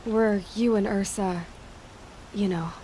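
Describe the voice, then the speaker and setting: An actress playing a young huntress heroine in a video game cutscene, speaking naturally in a direct, plain-spoken way. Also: female voice, medium pitch, fairly even intonation, slow, warm tone, a little hiss or hum, natural voice. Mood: careful, curious.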